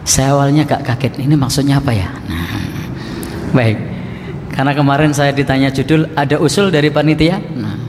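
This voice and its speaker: Guy giving a relaxed religious talk, jokes around, pauses a lot, big echoey hall. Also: mid-range voice. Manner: relaxed, joking with audience, pauses often. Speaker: preacher. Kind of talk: Islamic lecture. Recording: echoey hall recording, faint hiss.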